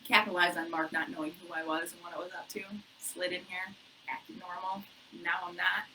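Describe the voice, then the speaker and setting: A woman realtor co-hosting a casual chat from her office, bubbly and chatty with a loud voice that carries, laughing often. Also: lowish voice, little pitch movement, brisk, distant mic, a little hiss or hum, rough recording. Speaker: realtor co-hosting a local real estate show.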